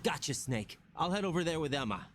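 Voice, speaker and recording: A man's voice: fairly high pitch, big pitch swings, a little fast. An earnest young male special forces agent from a video game speaks in a questioning, sincere way. Faint noise and ambience sit behind the scripted lines. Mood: dutiful.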